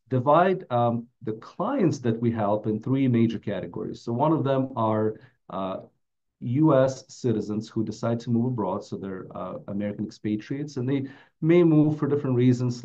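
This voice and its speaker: A middle-aged man with a mellow voice, giving a prepared webinar presentation as a financial advisor. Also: medium pitch. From Latvia. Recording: dull, muffled webinar audio.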